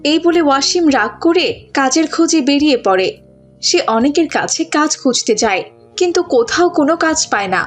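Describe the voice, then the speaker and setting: Casting: female cartoon storyteller, very high voice, scripted delivery over soft music. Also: lively intonation, moderate pace. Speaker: narrator.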